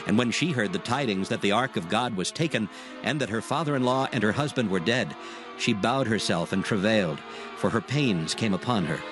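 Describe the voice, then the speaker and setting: A man narrating scripture from a script in a solemn, measured storytelling manner. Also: fairly low pitch, expressive. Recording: background music under the narration.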